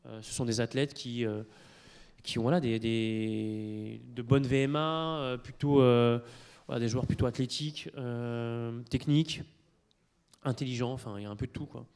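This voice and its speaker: Male panelist from Italy, mid pitch, halting explanatory delivery in a lecture hall. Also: fairly even intonation, darkish tone, clear, resonant tone. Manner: hesitant, many fillers and restarts. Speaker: conference panelist. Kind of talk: explanatory talk to an audience.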